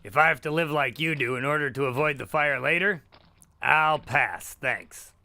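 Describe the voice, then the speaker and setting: An actor playing a sarcastic, irreverent adventurer in an audio drama, with a dry and casual, wisecracking manner. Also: male voice, a little high, moderate pace, gravelly, sharp tone, no room sound, exaggerated voice. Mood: flippant. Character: sarcastic, irreverent male adventurer living in the moment.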